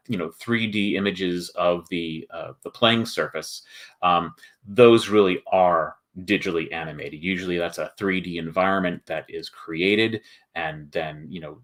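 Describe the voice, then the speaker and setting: Male host, deep voice, informal explainer delivery with hesitant, think-aloud phrasing. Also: a bit bright. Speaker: board game publisher and show host. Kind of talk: casual livestream chat explaining his process.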